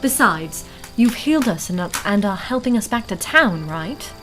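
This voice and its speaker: A woman's voice: medium pitch, animated, normal speed, fairly bright tone, soft background music, lightly acted, low-quality recording. A woman playing a plucky, kind young spellcaster in a fantasy audio drama. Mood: warm.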